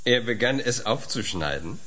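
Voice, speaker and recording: An actor in a radio drama playing a chatty, self-important xenobiologist with an anecdotal manner. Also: male voice, medium pitch, big pitch swings, moderate pace, bright, forceful tone. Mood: amused, chatty.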